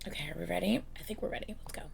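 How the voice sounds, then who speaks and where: A woman chatting excitedly and casually as host of a reaction video, her voice slightly hoarse and congested, swearing freely. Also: lowish voice, racing, loud music behind the voice. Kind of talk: casual reaction vlog chat.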